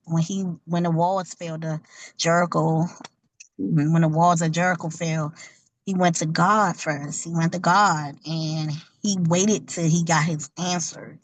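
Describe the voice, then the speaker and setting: A woman's voice: low-pitched, steady tone, a little slow, no room sound, rough recording. A woman speaking earnestly about her faith-based outreach on a video call, repeating points for emphasis. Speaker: ministry outreach worker, author. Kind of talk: networking pitch.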